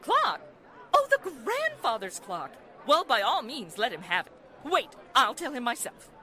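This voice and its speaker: A very high, bright, middle-aged society matron in a 1940s-style radio play. She gushes and flutters theatrically, swooping across her whole range and always close to hysteria. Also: wildly swooping pitch, measured pace, some chatter behind, heavily acted. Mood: fussy, chatty. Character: gushing, flighty, hysterical wealthy society matron.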